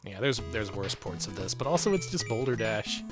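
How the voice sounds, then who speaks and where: A man's voice: lowish voice, expressive, a little fast, over music. A man co-hosting a retro game stream, casual and sarcastic, laughing often and swearing. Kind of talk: casual gaming chat.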